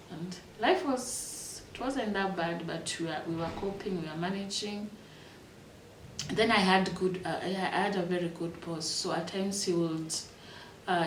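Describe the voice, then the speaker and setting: A Kenyan woman in her thirties telling her life story in a reflective, unhurried way, with fillers and restarts. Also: fairly low pitch, distant mic, slight background noise. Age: 30s. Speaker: interviewee. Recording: echoey room, voice from off-mic.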